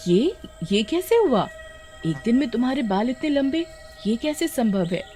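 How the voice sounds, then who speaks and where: A woman voicing a caring, easily amazed village mother with a concerned manner in an animated story. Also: sits high, normal speed, soft background music, voice pushed up. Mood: astonished.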